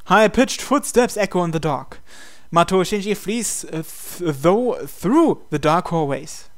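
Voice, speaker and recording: Male gaming host, visual novel read-aloud, slightly high pitch, crisp smooth tone. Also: animated, measured pace.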